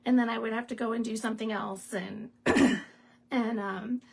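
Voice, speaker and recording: A woman hosting a live stamping demo, raspy and clearing her throat from asthma, chatting in a rambling way with laughs and asides. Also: fairly high pitch, steady tone, moderate pace. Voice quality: gravelly, husky. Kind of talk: casual live craft demo chat.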